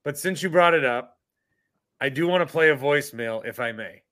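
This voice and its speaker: A man chats through a sports podcast stream, his voice bright and sitting a bit high for a man. He jokes freely and pokes fun at himself, keeping the talk loose and casual. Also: normal speed. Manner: joking, self-deprecating, chatty. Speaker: podcast host.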